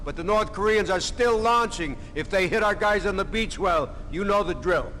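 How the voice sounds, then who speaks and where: A commanding navy officer in a military video game, his voice pitched high for a man. He barks clipped, authoritative orders to fighter pilots, with faint vehicle rumble behind him. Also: moderate pace, sharp tone, low-quality recording. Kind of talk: dramatic military briefing acting.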